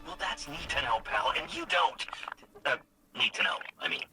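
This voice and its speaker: An actor playing a furtive, slightly bumbling stranger in a video game, hurried and stammering over a radio filter. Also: male voice, mid-range voice, big pitch swings, in a whisper. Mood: urgent, evasive. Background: game music.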